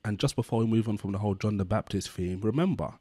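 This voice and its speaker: Earnest man with a low, dry voice. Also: quick pace, mellow, breathy edge, dry recording. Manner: earnest, explanatory, leans heavily on "basically". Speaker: channel host. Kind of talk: Bible-based commentary on a news story. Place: indoor room, talking to camera.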